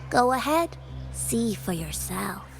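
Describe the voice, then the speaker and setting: A high-voiced, cryptic young mystic from a video game. She takes her time, teasing and sly in a singsong way, with soft music behind. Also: sing-song, takes it slowly, gravelly, exaggerated voice. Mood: sly, coaxing. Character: playful, cryptic young female Taoist mystic. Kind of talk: video game character acting.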